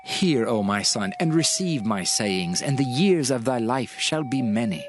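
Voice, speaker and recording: An actor playing a solemn, measured father instructing his son in a dramatized scripture reading, with music behind him. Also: medium pitch, lively intonation, close to an everyday voice. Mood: solemn counsel. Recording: loud music under the voice.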